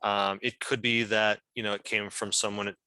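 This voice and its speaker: A man's voice: lowish voice, little pitch movement, moderate pace, sharp tone, clear, resonant tone, no room sound. A man explaining things as a guest at an online meeting, thoughtful and often searching for words. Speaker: member of a whistleblower's legal team, guest speaker.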